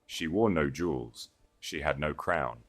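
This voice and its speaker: A man's voice: rumbling low, measured pace, fairly bright tone. A man reading a scripted romance audiobook in a solemn, dramatic way. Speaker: narrator.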